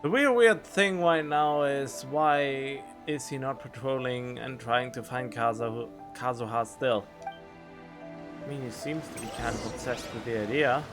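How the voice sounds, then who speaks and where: A man's voice: medium pitch, measured pace. A man giving casual playthrough commentary as a gaming streamer. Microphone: close studio condenser microphone. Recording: game audio and music underneath.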